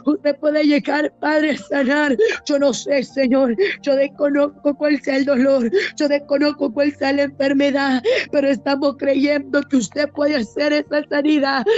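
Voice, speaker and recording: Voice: high-pitched, a little mellow. A preacher praying fervently for healing in a pleading, repetitive, tearful-sounding way, declaring and exhorting with emotion. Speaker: preacher leading a healing prayer. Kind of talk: fervent Pentecostal intercessory prayer. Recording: dull lo-fi audio.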